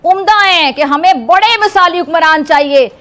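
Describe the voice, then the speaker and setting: Very high-pitched, indignant woman. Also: normal speed, a bit bright. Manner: indignant, rhetorical questions. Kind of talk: scolding political monologue.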